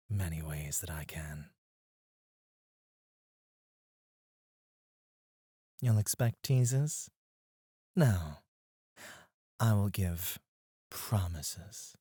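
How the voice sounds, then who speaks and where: A man playing a suave, flirtatious club escort in an intimate ASMR roleplay, teasing and breathy, close to the mic. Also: low-pitched, a little mellow, no room sound, heavily acted. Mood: sultry, seductive. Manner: slow, teasing, seductive, often dropping to a breathy murmur. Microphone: close studio microphone, dry.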